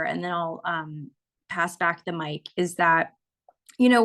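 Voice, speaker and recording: A woman in her forties from California, sharing her experience of a course in a casual, candid way, with frequent laughs and fillers. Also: mid-range voice. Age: 40s. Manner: warm, candid, laughs often, many fillers. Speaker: course member sharing a testimonial. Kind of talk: casual testimonial on a video call.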